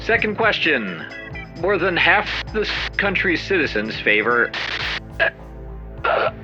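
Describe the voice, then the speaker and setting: A man playing a TV game show host in an audio drama, halting and slipping into an eerie, ominous trance. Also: a little high, sing-song. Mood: faltering presenter. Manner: halting, then entranced and ominous. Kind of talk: audio drama acting as live TV broadcast. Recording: muffled old TV broadcast, music behind.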